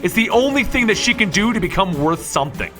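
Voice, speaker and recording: Male narrator, bright forceful voice, dramatic scripted storytelling over faint music. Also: high voice, sing-song, fast. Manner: dramatic, emphatic delivery with rhetorical questions. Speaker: comic book story narrator.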